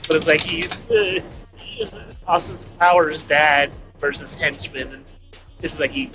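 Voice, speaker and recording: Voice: mid-range voice, steady tone, unhurried, over music. A friend chatting casually over a call while driving, giggly and rambling. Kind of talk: casual chat. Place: in a car, driving.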